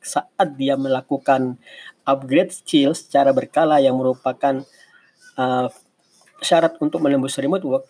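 Male mentor, 40s, average pitch, informal and explanatory, answers questions with digressions. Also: a little mellow. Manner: conversational, explanatory, with digressions and self-corrections. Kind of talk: casual advice talk in a live session.

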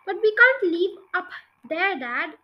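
A woman's voice: very high-pitched, sing-song, slow, muffled recording, voice pushed up, exaggerated voice. A woman reading aloud and voicing a worried, sensible young boy pleading with his father.

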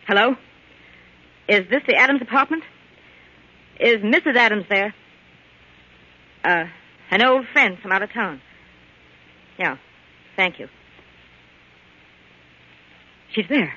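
A hard, scheming woman from a 1940s American radio thriller, suspicious and menacing. Her voice is sharp and slightly rough, swinging widely in pitch, on a muffled old broadcast recording. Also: animated, a little slow, pauses often, exaggerated voice. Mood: strained. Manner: tense, clipped, menacing.

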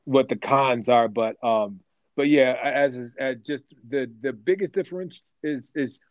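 A man being interviewed about his work as a voice actor, telling stories in a rambling, animated way with lots of restarts. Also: medium pitch, measured pace, no room sound, dull audio. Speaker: voice actor guest. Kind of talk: casual interview chat.

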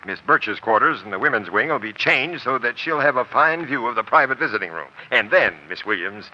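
A man playing a scheming, authoritative police detective, brisk and businesslike, in a 1940s radio crime drama. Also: medium pitch, expressive, a little hiss or hum, natural voice. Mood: plotting. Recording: dull, lo-fi old radio recording.

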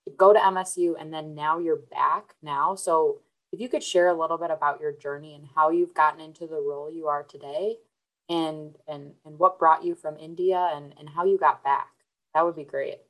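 Conduttrice con voce piuttosto grave, in tono entusiasta. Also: female voice, sits low, steady tone, moderate pace, low-quality recording. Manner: upbeat, encouraging, frequent fillers and restarts. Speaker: podcast host. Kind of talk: scripted intro then interview.

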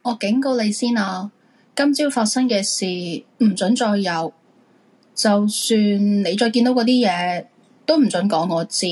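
A woman's voice: mid-range voice, a little slow, a little mellow, natural voice, low-quality recording. An actress playing a stern, commanding woman who gives curt warnings in a Hong Kong Cantonese radio drama.

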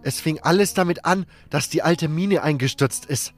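A man's voice: fairly high pitch, faint music behind, voice pushed up, natural voice. A man voicing a young male mage in an audiobook, panicked and grief-stricken, stammering as he speaks. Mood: shaken, anxious. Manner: stammering, hysterical, halting. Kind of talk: audiobook character acting.

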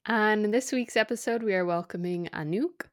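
A woman who co-hosts a podcast, reading an introduction and asking her guest measured questions. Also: mid-range voice, faint music behind. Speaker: podcast co-host.

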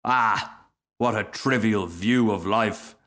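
A man's voice: fairly low pitch, slow pace, a bit bright. A man voicing a pompous, easily offended firework rocket in a storybook, with haughty, emphatic, self-pitying declaiming. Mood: lofty, scornful. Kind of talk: storybook character voice.

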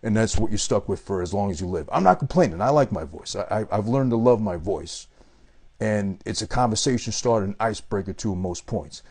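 Casting: husky, deep-ish male voice, unusual timbre, rambling profane movie-review rant. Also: lively intonation, brisk, darkish tone. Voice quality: unusual voice that might put people off.

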